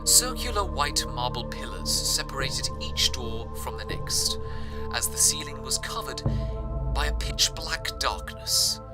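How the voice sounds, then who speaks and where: A man playing an earnest, awed broadcaster from a fantasy city, narrating a scripted radio drama in a measured, wistful way. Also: mid-range voice, expressive, over loud music, lightly acted. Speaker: radio host.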